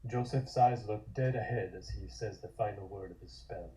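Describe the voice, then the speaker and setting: A man's voice: fairly low pitch, moderate pace, dark tone, low-quality recording. A man reading scripted horror stage directions as the narrator of a table read.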